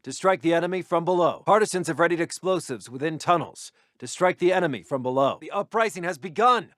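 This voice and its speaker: A war game intel officer, kinda high voice, dry close recording, crisp military briefings. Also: male voice, animated, fast talker, a bit bright, dry recording. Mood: rousing. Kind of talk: scripted video game unit announcements.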